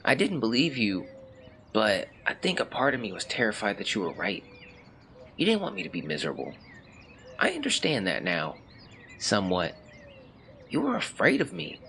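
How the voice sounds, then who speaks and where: An actor playing a melancholy young man who reads out a confessional farewell letter in measured, formal words, as in an audio drama. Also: medium pitch, bright, forceful tone, a little hiss or hum, exaggerated voice. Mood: tender, sorrowful. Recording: clear birdsong and outdoor ambience behind. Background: birdsong, nature ambience.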